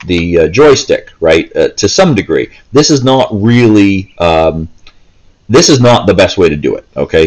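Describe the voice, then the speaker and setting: Low-voiced man, halting casual game commentary. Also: a little mellow. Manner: hesitant, halting, thinking aloud. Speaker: video game player and commentator. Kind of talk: casual gameplay commentary, chatty walkthrough. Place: indoors, seated, filming handheld gaming screen.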